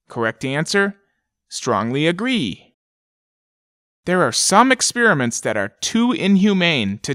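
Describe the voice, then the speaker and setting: A man's voice: mid-range voice, fairly bright tone, no room sound, clean studio sound. A man playing an officious corporate announcer in an audio drama, reading in a clipped, formal, authoritative way. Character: officious male corporate announcer of a sinister company.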